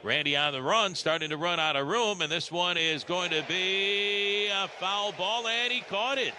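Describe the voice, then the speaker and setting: An American man calling live baseball play-by-play on the radio, with conversational, folksy asides between pitches. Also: moderate pace, sharp tone, slight background noise. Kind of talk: live sports commentary. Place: broadcast position at an open-roof ballpark.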